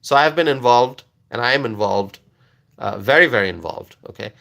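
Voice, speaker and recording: A man's voice: mid-range voice, animated, normal speed, creaky, bright, forceful tone. A man from Bangladesh whose first language is Bengali, hosting a live stream where he talks through politics and answers chat questions, repeating points for emphasis and checking in with "okay?". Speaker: livestream political commentator.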